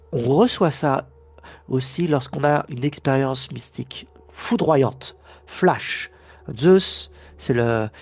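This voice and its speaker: Male spiritual lecturer, slightly husky from a cold; lively, swooping delivery, emphatic, often restarts his phrases. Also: on the high side. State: has a head cold. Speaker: spiritual teacher. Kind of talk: recorded video lecture.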